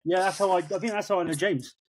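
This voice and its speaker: A man's voice: high voice, fast, husky, rough recording. A man in his forties from England, a podcast guest, telling stories in a laid-back, casual way with easy laughs.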